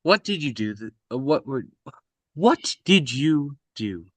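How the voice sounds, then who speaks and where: A man acts out a cold assassin on the run in a script read. He is terse and demanding, speaking slowly with a lively, swinging pitch. The sound is close and dry. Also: no echo, heavily acted. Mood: urgent. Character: cold, relentless male assassin on the run, interrogating. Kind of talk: screenplay table read acting.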